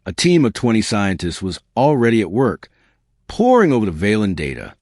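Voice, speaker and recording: A male audiobook narrator reads science fiction in a lowish voice. His delivery is animated, with plenty of movement in pitch. The sound is dry and close, free of room. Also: moderate pace, dry recording.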